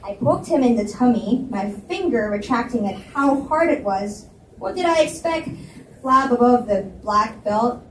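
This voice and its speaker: Expressive woman narrating a live reading. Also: on the high side, measured pace. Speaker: reader and narrator. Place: library event space. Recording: roomy, distant mic with faint hiss.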